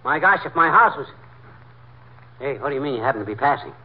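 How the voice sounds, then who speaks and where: An actor playing a blustery, scheming, hapless husband in a 1940s radio comedy, with excitable delivery. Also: male voice, medium pitch, fast talker, close to an everyday voice. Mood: indignant. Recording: muffled, noisy 1940s radio recording.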